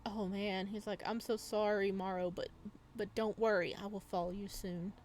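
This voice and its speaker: A woman at an average pitch reads story text from a visual novel aloud. She is a gaming YouTuber who adds casual commentary as she goes. Faint noise and game ambience sit behind her voice. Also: little pitch movement, normal speed, darkish tone.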